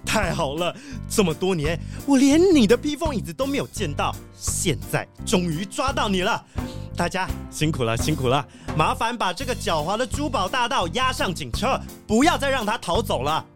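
A woman plays an earnest wolfdog sheriff in a children's radio play. The voice leaps up and down excitably, with a Taiwanese accent and light music underneath. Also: medium pitch, moderate pace, lightly acted. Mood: relieved pleased. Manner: exclamatory, earnest. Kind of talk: children's audio drama acting.

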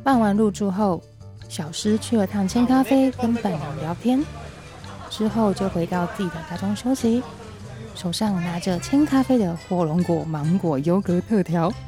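A woman's voice: mid-range voice, animated, moderate pace. A woman reading scripted story narration for a comedic audio drama. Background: music.

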